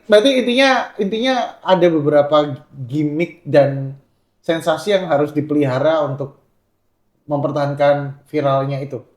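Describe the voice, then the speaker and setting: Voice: mid-range voice, moderate pace, darkish tone. A podcast host with a mid-range voice who asks probing questions in a loose, teasing way. The voice rings out in an echoey room. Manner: probing, playful, laughs often. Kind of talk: casual interview.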